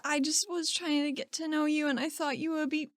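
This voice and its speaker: A woman voicing a flustered, defensive woman adventurer in a tabletop game, with halting excuses and blunt words. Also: high voice, level tone, dry recording, clean studio sound, pitched above the performer's own voice, close to an everyday voice.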